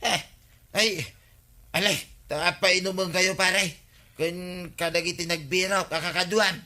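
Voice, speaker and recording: An actor playing a sheepish but stubborn villager in a comedy radio drama, protesting with an Ilocano accent. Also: male voice, high-pitched, fairly even intonation, measured pace, bright, forceful tone, exaggerated voice. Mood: defensive.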